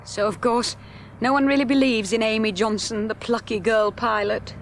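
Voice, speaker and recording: Actress playing an earnest young woman flyer, highish voice, radio play. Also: fairly even intonation, moderate pace, close to an everyday voice. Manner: earnest, insistent. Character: determined young woman aviator. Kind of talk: 1970s radio drama. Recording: noisy background ambience.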